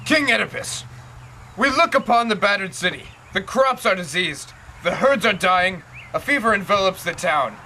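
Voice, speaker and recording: A man's voice: high voice, expressive, normal speed, pushed and bright, a little hiss or hum, heavily acted, rough recording. A man playing a grave citizen elder who addresses his king formally and earnestly in an amateur Greek tragedy. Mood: grave, pleading.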